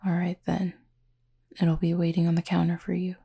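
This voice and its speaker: A woman playing a gentle, formal cupid roommate in an intimate roleplay audio, speaking softly and breathily with measured, teasing politeness. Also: lowish voice, monotone. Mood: tender, calm. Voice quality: soft, breathy, slightly husky. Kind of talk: intimate roleplay audio acting, addressed to listener.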